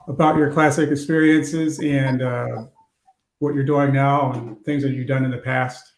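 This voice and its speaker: A man's voice: medium pitch, vocal fry. A man hosting an informal online panel talk, speaking in a hesitant, casual way. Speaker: podcast host. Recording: roomy, echoing sound.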